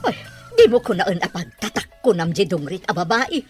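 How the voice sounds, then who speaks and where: A Filipino woman voicing a haughty, scornful older rich mother in an audio drama, with a husky, disdainful delivery. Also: fairly low pitch, animated, normal speed, a little mellow, soft background music, lightly acted. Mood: scornful, outraged.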